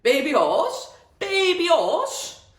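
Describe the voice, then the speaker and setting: A man's voice: a little high, very animated, normal speed, a bit bright, voice pushed up. A man voicing a jovial, opinionated country farmer in a storybook, speaking emphatically and repeating himself for effect. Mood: amused, lecturing. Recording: roomy echo, distant from the mic.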